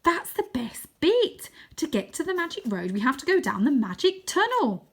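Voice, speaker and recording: An eager young boy from a storybook, put on by a woman reader, pitched up high. He exclaims proudly and boasts about his magic with great excitement. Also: normal speed. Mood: eager, boastful.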